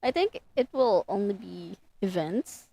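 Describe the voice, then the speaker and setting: A woman game streamer chats casually to her viewers. She speaks slowly, at a middle pitch, in an informal conversational style. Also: expressive, gravelly, warm tone. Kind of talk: casual livestream chat.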